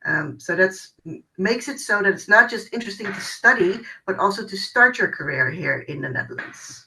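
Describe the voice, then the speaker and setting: A woman's voice: mid-range voice, expressive, moderate pace, sharp tone, rough recording. A woman working as a university recruiter, giving an informal presentation in an online webinar. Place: online video call.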